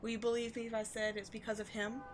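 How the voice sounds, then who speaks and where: A woman's voice: fairly high pitch, level tone, quick pace, background noise, close to an everyday voice. A woman playing a bitter, passionate villain with secret devotion, speaking in a theatrical, intense, emphatic way in a dramatic audio roleplay. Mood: pained, confessing. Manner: theatrical, intense, emphatic, with sighs and dropped whispers. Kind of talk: dramatic audio roleplay monologue addressed to the listener.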